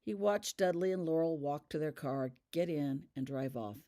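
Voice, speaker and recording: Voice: medium pitch, normal speed, mellow. An author reading their own fan fiction as scripted audiobook narration.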